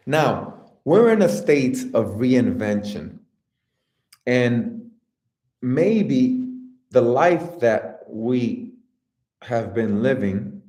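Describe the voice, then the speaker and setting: A man's voice: medium pitch, mellow, reverberant. A man giving an earnest, encouraging motivational talk as a life coach, speaking directly to his listeners.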